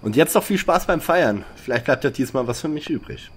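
A man as a lively, practical young detective. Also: a little high, a little fast, slightly rough. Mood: brisk, practical. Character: practical, eager young detective sidekick, wry and unbothered. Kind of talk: parody detective audio drama.

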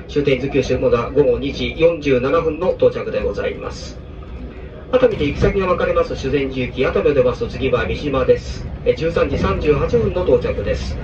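A man's voice: mid-range voice, far from the mic. A man working as a train conductor makes a formal, polite scripted announcement, reading out stops and times over a train's public-address speaker. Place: inside a moving train carriage. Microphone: handheld camera away from the PA speaker. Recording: muffled PA picked up from afar, loud train noise. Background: loud train running noise.

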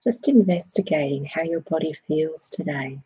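A woman leading a guided body scan meditation, speaking in a soothing, gentle way with unhurried phrasing. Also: low voice, animated, slightly rough, no room sound, highs cut off.

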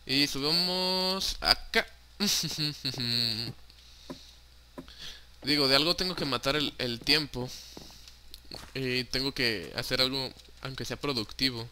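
Young Mexican guy, let's-player; mid pitch, bright and crisp, loose and jokey, some hiss on the track. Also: slow pace, long pauses. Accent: Mexican Spanish. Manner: chatty, joking, swears casually, mixes in English words. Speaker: gaming YouTuber. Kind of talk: casual Minecraft let's play commentary.

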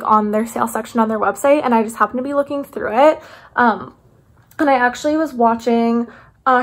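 A woman, chatting casually and enthusiastically to camera like a vlogger. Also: a little high. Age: 20s. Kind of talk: casual haul chat to camera. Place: small living room indoors.

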